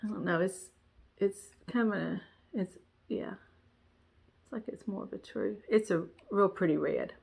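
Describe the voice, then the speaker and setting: A woman chats through a cross-stitch show-and-tell in a nasal, congested voice. Her talk rambles, full of fillers and restarts, and her pitch stays in a middle range. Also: unhurried, creaky, long pauses, a little mellow, husky. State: stuffy, congested nose. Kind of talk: casual show-and-tell chat.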